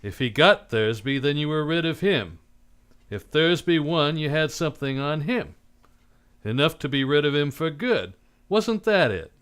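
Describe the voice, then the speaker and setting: A man's voice: a little high, lively intonation, a little slow, fairly bright tone, clean tone. A man playing a cynical, unsentimental private detective in a live old-time radio drama, with terse, blunt, slangy tough-guy delivery. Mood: hard, accusing.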